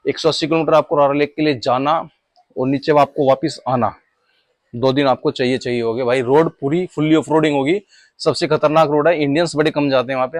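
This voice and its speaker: A man's voice: fairly high pitch, no echo. Man vlogging, dry close sound. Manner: conversational, often addressing the viewer as brother. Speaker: motorcycle travel vlogger. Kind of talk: casual vlog chat.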